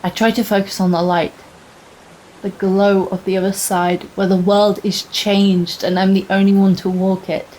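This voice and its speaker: A young woman playing an earnest, wistful girl explorer in a radio play, narrating in a measured, confiding, dramatic way. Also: mid-range voice, level tone, warm tone, a little hiss or hum, lightly acted, low-quality recording. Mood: tense wonder. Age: young adult. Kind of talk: scripted first-person radio play monologue.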